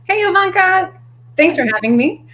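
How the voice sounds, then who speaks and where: A woman's voice: very high voice, sing-song, normal speed, fairly bright tone, lo-fi sound. An American woman speaking as a panelist in a discussion, articulate and enthusiastic. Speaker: business consultant, panelist.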